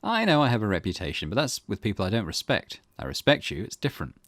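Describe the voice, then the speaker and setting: Man voicing older male womaniser, visual novel, self-pitying, flattering, coaxing, low pitch, very dry. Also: quick pace, dry recording, heavily acted.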